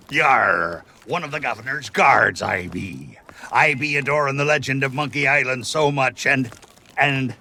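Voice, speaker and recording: A man's voice: mid-range voice, sharp tone, slight background noise, exaggerated voice. A male actor playing a theatrical, boastful pirate villain in a video game cutscene. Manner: hammy, slow, boastful. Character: scheming undead pirate villain impersonating a guard, theatrical.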